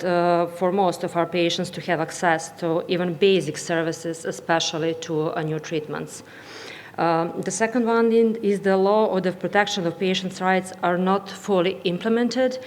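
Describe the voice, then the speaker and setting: Nervous Serbian-accented woman in an echoey hall. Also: on the low side. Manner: nervous, halting delivery in non-native English. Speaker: patient advocate speaking at a conference. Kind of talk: conference presentation. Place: conference hall, speaking to an audience. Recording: echoey hall with clear background noise.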